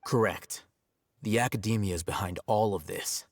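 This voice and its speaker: A man playing a composed, analytical young scholar in a video game, speaking in a measured, deliberate way. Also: fairly low pitch, vocal fry, noisy background. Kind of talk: scripted video game voice acting. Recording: game music behind the voice.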